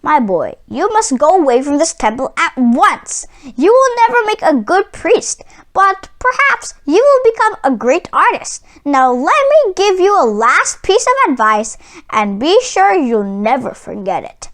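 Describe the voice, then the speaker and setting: Storybook old priest read by a woman: high, swooping pitch, kindly but stern, solemn and admonishing. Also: sing-song. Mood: stern, advising. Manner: grave, deliberate, admonishing. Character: kind but stern old male priest giving advice.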